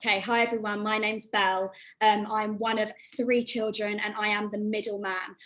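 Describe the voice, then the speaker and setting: A woman's voice: medium pitch, flat delivery, normal speed, a bit bright, poor audio. A young woman from England, chatty and self-deprecating as she takes part in an acting workshop over a video call. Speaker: workshop participant. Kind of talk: casual chat.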